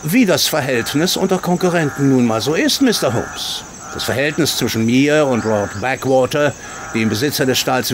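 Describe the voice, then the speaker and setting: A man acting a haughty colonel who owns racehorses, in a radio drama. His mid-pitched voice swings expressively as he talks down to people bluntly and sceptically. Insects and outdoor ambience are clearly heard behind. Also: background noise, lightly acted. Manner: condescending, blunt.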